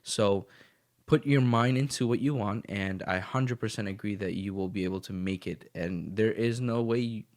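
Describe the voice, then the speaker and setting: A man's voice: lowish voice, normal speed, darkish tone. A man hosting his own podcast, talking casually and motivationally in a rambling way, a little sniffly as he gets over the flu. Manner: rambling and motivational, with frequent restarts. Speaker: podcast host. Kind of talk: casual solo podcast monologue.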